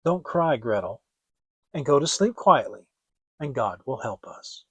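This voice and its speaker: A man voicing a gentle young boy, a protective big brother in a storybook, soothing and reassuring. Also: medium pitch, sing-song, unhurried, husky, no room sound, heavily acted.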